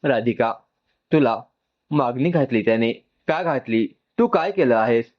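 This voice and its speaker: An angry, suspicious sister in a storybook drama acted out by a man, firing accusing questions with big pitch swings. The sound is a bit dull and lo-fi. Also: expressive, a little slow, lightly acted. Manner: sharp interrogating, accusing.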